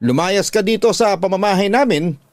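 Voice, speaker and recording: A man's voice: sits high, normal speed, dry recording, soft background music, voice pushed up, lightly acted. A man voicing a fierce, protective young woman in a radio drama, scolding in an indignant, emphatic way. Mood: furious, commanding. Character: fierce, protective young woman confronting her father's mistress. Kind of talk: Tagalog radio drama acting.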